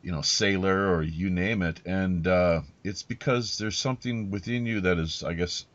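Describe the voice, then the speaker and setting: Deep-voiced male podcast host, slightly muffled. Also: moderate pace, a bit bright. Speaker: podcast host interviewing a guest. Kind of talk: casual rambling interview talk. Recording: slightly dull, muffled lo-fi audio.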